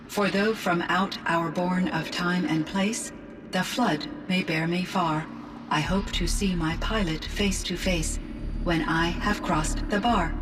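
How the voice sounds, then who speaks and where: A woman playing a gentle, curious artificial intelligence in a video game, speaking in measured, precise, formal phrases. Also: lowish voice, far from the mic, background noise, natural voice. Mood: reflective, curious. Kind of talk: scripted video game dialogue.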